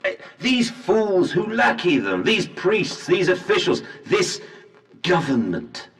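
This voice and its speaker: An actor playing a proud, bitter and obsessive visionary industrialist in a period video game, with grand oratorical delivery. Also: male voice, sits high, big pitch swings, takes it slowly, a bit bright, full character voice. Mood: scornful, ranting. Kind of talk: scripted game voice acting, period diction. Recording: distant, long hall reverb.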